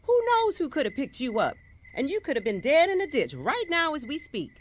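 Lively, frank social worker. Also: female voice, sits high, fairly quick. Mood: firm, warning. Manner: earnest, plain-spoken. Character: frank, concerned female social worker, motherly. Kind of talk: audio drama acting.

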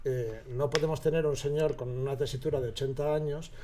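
A man's voice: a little high, steady tone, fairly quick, mellow, slight background noise. A man with a Castilian Spanish accent, a radio drama writer explaining his choices conversationally as a studio interview guest.

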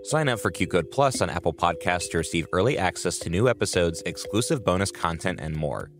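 A man's voice: low voice, expressive, a little fast, music in the background. A man reading a scripted subscription promo as an announcer.

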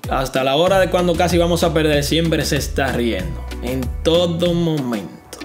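A young man with a somewhat high voice speaks in a calm, matter-of-fact way about his teammates. Music plays clearly under him. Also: a little fast. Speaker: esports player. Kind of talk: documentary interview.

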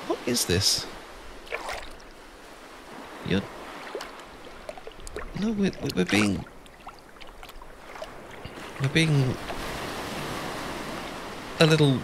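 A man's voice: a little high, sing-song, very slow, pauses often, heavily acted. A man with a British accent playing a shy, adorkable, flustered young man in love, stammering and hesitant in an ASMR boyfriend roleplay. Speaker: audio roleplay performer. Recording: clear background ambience noise.

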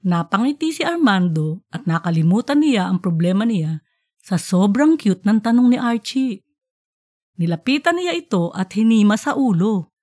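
A woman narrating an audiobook in an expressive storytelling style. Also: mid-range voice, normal speed, no echo, studio quality.

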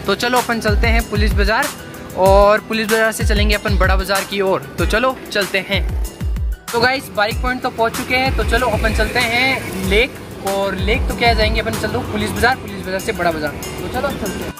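A man's voice: high voice, moderate pace. A young man casually narrating a walking travel vlog through city streets and a market, talking to his viewers and often calling them "guys". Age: young adult. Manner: chatty, repeats "guys" and "chalo" often. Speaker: travel vlogger. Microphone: handheld phone camera at arm's length. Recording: outdoor phone audio with music under it.

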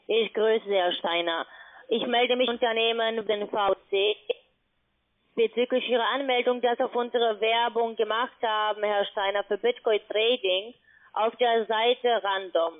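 A woman phone scammer posing as an advisor, speaking halting German and repeating the customer's name. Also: fairly high pitch, steady tone. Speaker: scam call-centre advisor. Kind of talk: phone sales pitch. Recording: tinny phone line.

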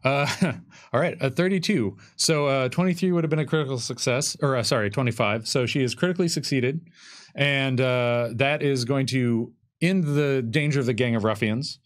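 A man's voice: mid-range voice, moderate pace. A middle-aged man acting as game master, narrating and adjudicating a tabletop game in hesitant, conversational phrasing. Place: indoor studio, seated at a game table.

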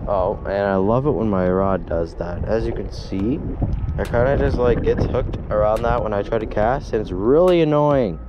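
A man's voice: lowish voice, moderate pace, lo-fi sound. Chatty young guy vlogging by a windy pond. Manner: chatty, rambling, self-correcting. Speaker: fishing vlogger. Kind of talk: casual outdoor vlog. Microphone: action camera, head-mounted and handheld.

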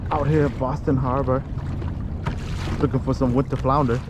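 A man's voice: mid-range voice, normal speed. Man narrating from a kayak, windy water noise. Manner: short clipped remarks, excited exclamations. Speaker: fishing vlogger. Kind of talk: casual first-person fishing commentary. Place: kayak on open water outdoors. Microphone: action camera mounted on kayak. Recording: outdoor camera audio with wind and water noise.

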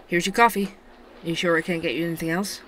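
A man's voice: fairly high pitch, fairly even intonation, fast talker, sharp tone, a little hiss or hum. An actor playing a nervous, eager male barista and amateur reporter in an audio drama, flustered and hesitant. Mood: reluctant, nervous.

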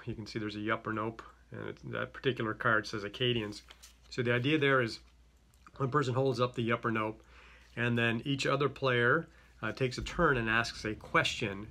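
A man's voice: mid-range voice, sharp tone. A middle-aged man with a slight Greek accent, unboxing and explaining a card game in a chatty way, full of fillers and restarts. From Greek-speaking home. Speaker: company head presenting a product. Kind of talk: casual product unboxing. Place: small office with a yellow wall.

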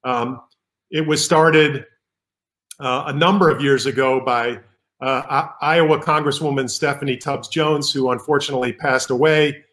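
A man's voice: mid-range voice, fairly even intonation, moderate pace, fairly bright tone. A middle-aged man, a physician, giving an earnest talk over slides on a video call, with many ums and restarts. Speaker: physician keynote speaker. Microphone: video call webcam.

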